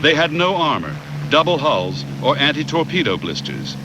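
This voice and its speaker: A man reads scripted documentary narration in a measured, factual way. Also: on the high side, very animated, background noise.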